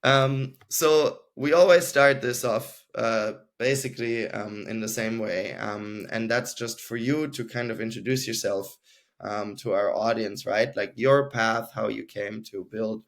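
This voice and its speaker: A man's voice: fairly low pitch, measured pace. A man hosting a podcast interview, introducing his guest with frequent hesitations. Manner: hesitant, lots of ums and uhs. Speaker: podcast host.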